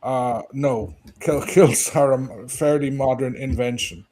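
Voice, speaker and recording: A man explaining history in a measured, knowledgeable way, with small restarts. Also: medium pitch, fairly even intonation, mellow. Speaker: history commentator. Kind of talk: casual discussion.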